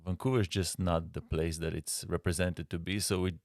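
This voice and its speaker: A man from Slovenia with a Slovenian accent, a guest designer answering interview questions in a halting way with frequent pauses. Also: sits low, steady tone, darkish tone, no room sound, studio quality.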